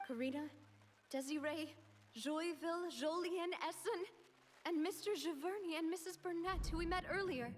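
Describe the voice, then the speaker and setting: A woman voicing an earnest, shaken young noblewoman in a video game, with a halting, vulnerable delivery. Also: very high-pitched, a little hiss or hum. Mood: pained, grieving. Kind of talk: emotional video game voice acting.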